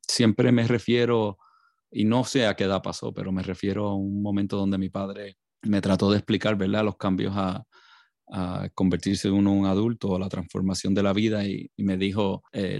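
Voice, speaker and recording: A man with a low, gravelly voice and a flat, even delivery. He talks in a measured, reflective way on a podcast, pausing on fillers, and the sound is dry and close. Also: no room sound. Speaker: podcast co-host. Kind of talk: casual conversation.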